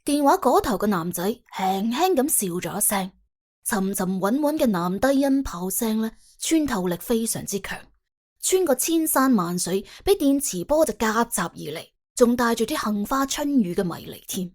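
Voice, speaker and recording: A woman's voice: a little high, expressive, moderate pace, a little mellow. A woman with a Cantonese accent narrating a story in a dramatic, colloquial, humorous way. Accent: Hong Kong or Guangdong Cantonese. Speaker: audiobook narrator. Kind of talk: colourful scripted story narration.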